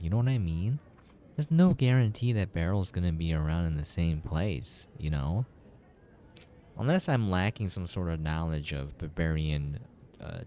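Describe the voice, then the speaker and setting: Male game reviewer: very low, warm voice, laid-back unscripted commentary. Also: slight background noise.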